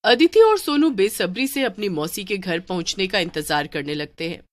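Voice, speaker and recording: A woman's voice: medium pitch, expressive, normal speed, no room sound, clean studio sound. A woman reading scripted narration for a children's horror story as the storyteller.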